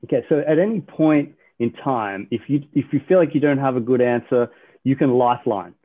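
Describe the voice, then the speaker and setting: A man's voice: medium pitch, brisk. A man hosting a game-style podcast, upbeat as he explains the rules and asks his guests questions. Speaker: podcast host. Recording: tinny phone-like line.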